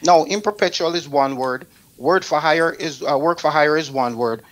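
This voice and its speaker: A man's voice: fairly high pitch, normal speed, low-quality recording. A man with a Jamaican accent hosting a talk show, bluntly and emphatically driving his points home by repeating them. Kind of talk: opinionated radio talk aimed at advising artists.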